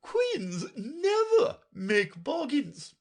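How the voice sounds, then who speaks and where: A reader voicing a bossy, imperious queen in a storybook audiobook, with a shrill, commanding manner and drawn-out emphasis. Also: very animated, heavily acted. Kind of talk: audiobook character voice.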